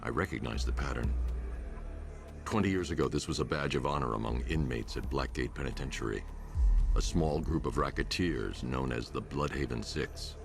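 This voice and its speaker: An actor playing a grim, driven vigilante detective in a video game, gravelly, clipped and grave. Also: male voice, a bass voice, moderate pace. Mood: grave, focused. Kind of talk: dramatic video game acting. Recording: voice under clear background music.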